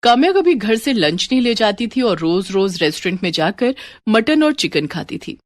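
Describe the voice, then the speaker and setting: A woman's voice: medium pitch, very animated, moderate pace. A woman reading a scripted story as its narrator.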